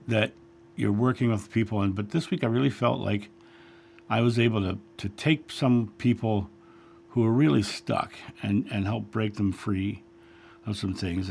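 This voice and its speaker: A man hosting a spiritual livestream, chatting casually and greeting viewers by name, his voice a little raspy after a long week. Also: mid-range voice. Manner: friendly, chatty, reads names from chat with frequent fillers. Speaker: livestream host, spiritual guide. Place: home studio.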